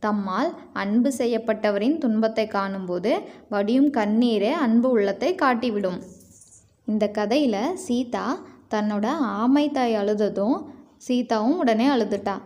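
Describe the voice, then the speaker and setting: A woman's voice: medium pitch, a little slow, darkish tone. A woman storyteller giving scripted narration for children in simple, explanatory phrasing.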